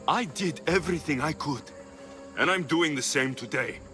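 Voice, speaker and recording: A man's voice: a little high, normal speed, bright, forceful tone. A man playing a resolute, earnest Greek mercenary hero in a cinematic video game. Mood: earnest, pleading. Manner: resolute, earnest, dramatic delivery. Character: battle-hardened Greek mercenary hero, earnest, defiant older brother. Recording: music under the voice.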